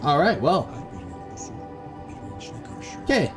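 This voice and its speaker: A man's voice: a little high, big pitch swings, drawn-out words. A man giving casual, laid-back commentary as he hosts a video game playthrough. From Canada. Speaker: gaming video host. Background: atmospheric game music.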